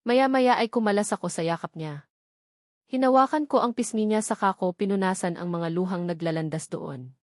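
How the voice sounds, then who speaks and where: A woman's voice: medium pitch, normal speed, dry recording, studio quality. A woman with a Filipino accent reading a scripted first-person romance story as an audiobook narrator.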